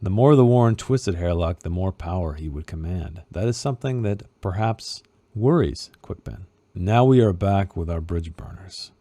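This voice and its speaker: A man's voice: low-pitched, animated, normal speed, mellow, dry recording. A man hosting a book club, casually recapping a chapter with informal asides and passages read aloud.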